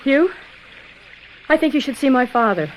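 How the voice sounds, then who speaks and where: Devoted, insecure young sweetheart, high-pitched, tentative, radio drama with clear background noise. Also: female voice, animated, normal speed, poor audio. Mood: concerned. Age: young adult. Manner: hesitant, pleading. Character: anxious, devoted young girlfriend, soft and insecure.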